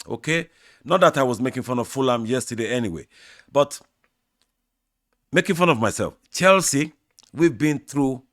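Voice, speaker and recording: A Nigerian man, a native Yoruba speaker, hosting his own football channel and giving measured, opinionated answers straight to his fans. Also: medium pitch, no room sound, clean studio sound. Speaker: sports channel host. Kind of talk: football opinion talk to camera.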